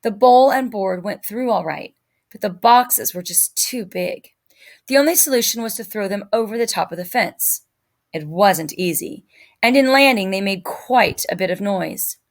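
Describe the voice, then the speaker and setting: A woman's voice: mid-range voice, animated, moderate pace. A woman teacher narrating a children's novel as a read-aloud.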